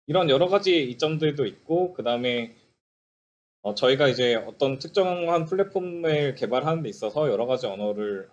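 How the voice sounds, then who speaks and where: A man giving a technical presentation as a software developer, explaining politely with hesitant pauses. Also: medium pitch. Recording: dull lo-fi audio.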